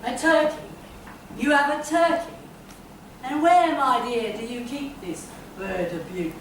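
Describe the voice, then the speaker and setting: A woman's voice: sits high, measured pace, slight background noise. A woman playing a haughty, domineering wealthy mother who speaks in a grand, mocking way. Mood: scornful. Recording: echoey room from far off.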